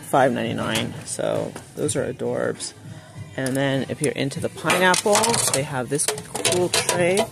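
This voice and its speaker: A woman's voice: very deep voice, a little slow, over loud music. A woman narrating a casual shop-with-me video, chatting to viewers and reading out prices as she browses a store. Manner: chatty, reads out prices, addresses viewers as you guys. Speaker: shopping vlogger. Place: large retail store, indoors. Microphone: phone held while filming, speaker off camera. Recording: phone audio with clear store noise.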